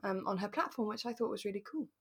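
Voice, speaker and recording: A woman's voice: mid-range voice, lively intonation, fast talker, crowd noise. Chatty British woman in casual conversation. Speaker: podcast co-host. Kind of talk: casual podcast chat.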